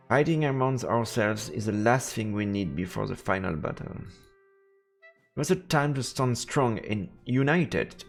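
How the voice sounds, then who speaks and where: A low-pitched man narrates a visual novel as he plays it on stream. His voice has a creaky edge, and music plays softly behind him. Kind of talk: narrated read-aloud with casual reactions.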